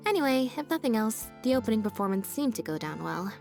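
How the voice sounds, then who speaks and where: A woman voicing a laid-back, deadpan young stage magician's assistant in a video game, speaking in an unhurried, matter-of-fact way. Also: fairly high pitch, gravelly. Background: game background music.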